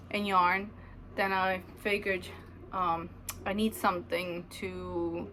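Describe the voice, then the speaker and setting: A woman's voice: mid-range voice, fairly bright tone, a little hiss or hum. A woman in her thirties, from Ukraine, chatting casually at home about her knitting, rambling with many ums. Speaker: knitting vlogger. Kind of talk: casual chat to camera. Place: home, indoors.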